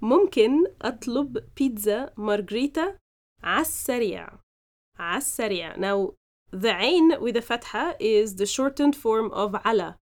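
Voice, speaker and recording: A woman hosting a podcast, teaching phrases slowly and explaining each example in turn. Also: fairly high pitch, lively intonation. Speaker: podcast host and Arabic teacher. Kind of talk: language lesson podcast.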